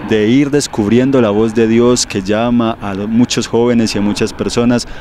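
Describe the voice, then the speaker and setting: A man's voice: medium pitch, fairly even intonation, normal speed, slight background noise. A man hosting a televised interview, asking guiding questions in a formal conversational way. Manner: warmly formal, leading questions. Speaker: TV program host.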